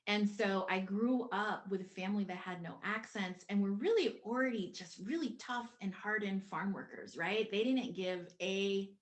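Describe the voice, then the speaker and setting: A woman born in the Philippines whose first language is Tagalog, speaking on a panel in a casual, animated way with many fillers and restarts. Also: medium pitch, moderate pace, hardly any pauses. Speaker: panel guest.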